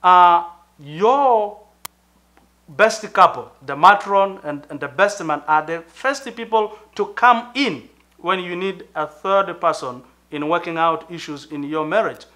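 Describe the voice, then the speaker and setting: A middle-aged Tanzanian man with a Tanzanian accent speaks as a pastor, earnest and measured, pausing to think. His voice sits a little high for a man and has a bright, forceful edge. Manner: earnest, measured, with thoughtful pauses and fillers. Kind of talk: sermon-like talk to camera.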